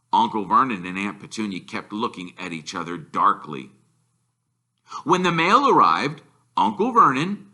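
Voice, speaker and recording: Bright, animated male storyteller. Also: on the low side. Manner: animated, deliberate. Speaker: reader, storyteller. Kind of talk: expressive children's novel narration.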